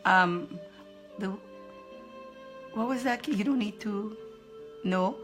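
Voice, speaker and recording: An upbeat woman hosting a live card-reading stream in a chatty, encouraging way, greeting viewers by name and laughing often. She talks at an ordinary middle pitch and repeats her phrases for emphasis. Soft music plays faintly behind her. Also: slow.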